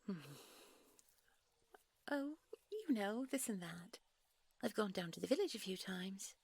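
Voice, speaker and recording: A woman playing a gentle, secretive fairy princess in an intimate audio roleplay, with a soft, breathy voice and a genteel, coy, polite manner. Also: medium pitch, sing-song, a little slow, full character voice. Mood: gently chatty. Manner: genteel, coy, old-fashioned polite phrasing. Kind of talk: intimate audio roleplay acting, one side of a dialogue.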